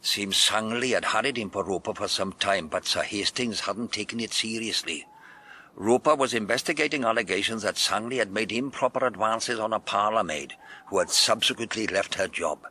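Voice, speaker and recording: An actor playing a gruff, blustering chief inspector in a period detective radio drama. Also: male voice, lowish voice, moderate pace, sharp tone. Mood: matter-of-fact. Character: blustering, hard-boiled male police chief inspector.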